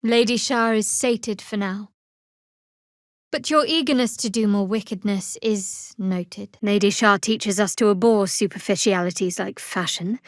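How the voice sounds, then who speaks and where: An actress voicing a guarded, wry and devout young female cleric in a fantasy video game, with a cool, measured, dryly sardonic delivery. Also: medium pitch, no room sound, studio quality, natural voice. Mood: guarded, solemn.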